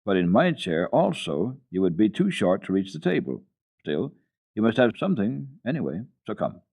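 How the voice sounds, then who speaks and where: A man playing a kindly, gruff old mountain grandfather, plain-spoken and approving, in a children's story from an old radio recording. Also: fairly low pitch, sing-song, normal speed, darkish tone, heavily acted. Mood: amused, kindly. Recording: muffled, lo-fi archival radio recording.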